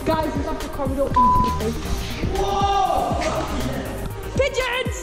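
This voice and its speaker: Brief: young northern English woman, lively high voice, echoey derelict space, loud music behind. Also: a little slow. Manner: excitable, giggly, loud calls. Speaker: vlogger exploring. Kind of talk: casual chat. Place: abandoned building at night. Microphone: handheld action camera.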